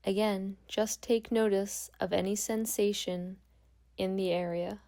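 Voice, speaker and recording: A woman guiding a meditation as a yoga nidra instructor, speaking calmly and gently with long pauses. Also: mid-range voice. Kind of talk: guided meditation.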